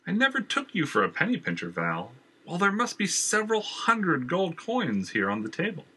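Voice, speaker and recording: A man's voice: sits high, huge pitch swings, moderate pace, bright, forceful tone, slightly rough, voice pushed up, full character voice. A man voicing a smug, theatrical male theater owner who mocks and feigns hurt in an audiobook story. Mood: mocking. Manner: mocking, falsely wounded.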